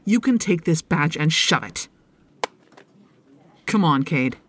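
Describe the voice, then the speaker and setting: A woman playing a defiant, grieving deputy in an audio drama, husky, blunt and accusing. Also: low-pitched, animated, slow, a little hiss or hum. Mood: furious, hurt.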